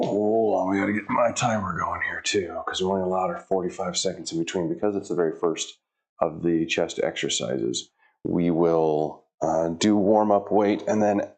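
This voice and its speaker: A middle-aged man in a home gym talks his way through his workout in a casual vlog. His voice sits low and gravelly. He chats to viewers and mutters to himself, a little flustered as he gets set up. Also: unhurried, a little mellow. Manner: chatty, self-talking, flustered by equipment trouble. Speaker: fitness vlogger.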